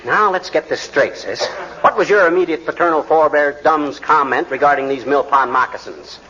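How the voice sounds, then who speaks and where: A man's voice: fairly high pitch, a little fast, sharp tone. An American man playing a blustery, folksy middle-aged husband who grumbles and wisecracks in slangy 1940s radio comedy style. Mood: exasperated. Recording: noisy, dull 1940s radio recording.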